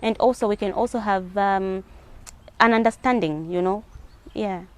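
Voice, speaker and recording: A woman's voice: mid-range voice, moderate pace, clean tone. A woman with a Zambian accent giving earnest answers as a guest in a spoken interview. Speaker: interview guest, hijab advocate.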